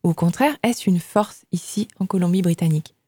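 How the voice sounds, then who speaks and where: A woman interviewer asking clear, measured questions of her guest. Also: fairly low pitch, dark tone, dry recording, clean studio sound. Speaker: radio interviewer.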